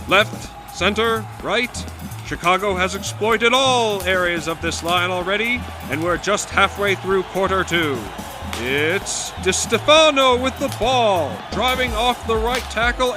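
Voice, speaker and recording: An actor playing an excited, florid 1920s radio football announcer calling play-by-play in a period drama. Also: male voice, high voice. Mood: thrilled, shouting. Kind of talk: 1920s radio sports commentary.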